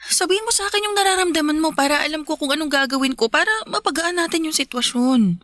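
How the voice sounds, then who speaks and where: A woman's voice: sits high, quick pace, a bit bright, dry recording, clean studio sound. A woman acting a pleading, conciliatory sister in a Filipino radio drama. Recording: music behind the voice.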